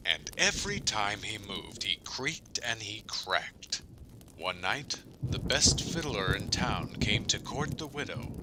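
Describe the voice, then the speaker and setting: Man acting slow, animated spooky storyteller. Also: lowish voice, noisy background. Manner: rhythmic, expressive. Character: folksy spooky-tale storyteller in a village. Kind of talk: dramatic spooky folk storytelling.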